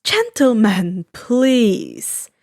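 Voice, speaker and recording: A woman's voice: on the high side, sing-song, drawn-out words, darkish tone, no echo, studio quality, exaggerated voice. A woman voicing a formal, long-suffering, theatrical male magician in a story reading. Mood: fed up. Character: fussy, theatrical masked male magician, easily affronted.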